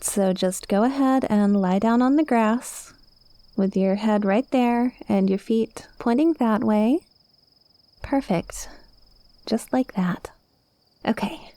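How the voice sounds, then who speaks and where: A woman's voice: a little high, dark tone, no room sound. A woman playing a cute, playful witch in an ASMR roleplay, speaking confidently and coaxingly straight to the listener. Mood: gentle, instructing. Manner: conversational, teasing, coaxing.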